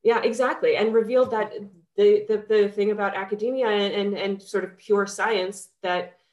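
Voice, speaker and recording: A woman's voice: medium pitch, steady tone, normal speed, a little mellow. Nasal young woman with a cold, echoey. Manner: chatty, enthusiastic, many fillers. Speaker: skincare founder, guest. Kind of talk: casual podcast interview.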